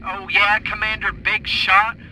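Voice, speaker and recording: An actor playing a sarcastic, indignant astronaut who bickers and mocks in a comic video game. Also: male voice, high voice, little pitch movement, a little slow, pushed and bright, slight background noise, exaggerated voice, rough recording. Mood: mocking, irritated. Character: scornful, bickering male astronaut, mocking and irritable.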